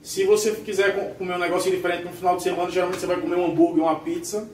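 A man's voice: high-pitched, rapid-fire, recorded from a distance, slight background noise. Laid-back Northeastern Brazilian man in echoey kitchen. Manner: relaxed, slangy, recommending. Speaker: husband cooking. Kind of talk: casual home cooking chat. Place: small tiled home kitchen. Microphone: camera held a few meters away.

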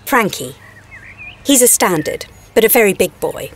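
A woman playing a prickly, dry older retired schoolteacher in an audio drama, with a husky voice. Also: medium pitch, sing-song, normal speed, slight background noise, natural voice. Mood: warming, friendly. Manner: dry, sardonic.

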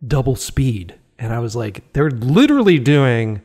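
A man's voice: medium pitch, sing-song, measured pace, darkish tone. A man hosting a podcast interview, enthusiastic and casual, laughing often and swearing freely. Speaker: podcast host.

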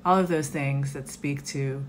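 A woman's voice: rumbling low, steady tone, a little slow, slight background noise. A woman in her forties, speaking to a close webcam in her living room and reflecting on art that matters to her. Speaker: community advisory member. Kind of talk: personal reflection to camera. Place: home living room, indoors.

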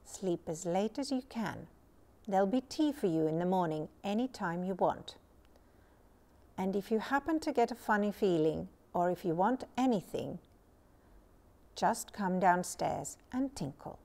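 A woman voicing a gentle, caring mother troll in a storybook, soothing and reassuring. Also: mid-range voice, lively intonation, unhurried, creaky. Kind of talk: storybook character reading.

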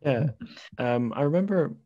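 A man's voice: fairly high pitch, expressive, moderate pace, no echo, muffled recording. A man hosting a podcast in casual conversation, speaking hesitantly and with self-deprecation. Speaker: podcast host. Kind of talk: casual interview chat.

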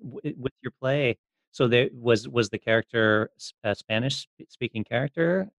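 A man's voice: mid-range voice, moderate pace, no room sound. A man hosting a podcast, asking questions in a casual interview style. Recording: dull, dry lo-fi audio.

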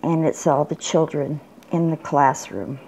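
An older woman showing off a handmade craft project in an unhurried, plain way, with small pauses and restarts. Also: sits low, steady tone, mellow, a little hiss or hum, poor audio. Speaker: crafter showing her work. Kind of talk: casual show-and-tell. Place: indoors at a table, bedroom. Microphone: phone camera filming from above.

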